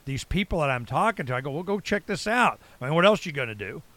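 A man of about sixty with an American accent and a slightly higher-set voice. He talks in a loose, rambling, blunt way with salty slang, like a talk show host chatting with a guest. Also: sing-song, quick pace, sharp tone. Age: late 50s to early 60s. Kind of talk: casual conversational interview.

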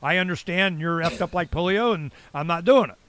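A man's voice: high voice, a little fast, fairly bright tone, smooth, no echo. An American man around sixty hosting a casual interview, rambling and blunt with salty slang. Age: late 50s to early 60s. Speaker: talk show host.

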